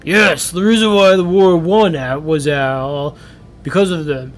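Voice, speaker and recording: A man voicing an unsure knight captain and father, halting, with questioning upward endings. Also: on the high side, steady tone, faint music behind, lightly acted. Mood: solemn, uncertain.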